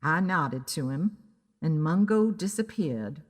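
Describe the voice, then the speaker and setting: A woman's voice: deep voice, expressive, slow pace. A woman narrating a first-person story in a dramatic audiobook style. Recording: long reverb on the voice.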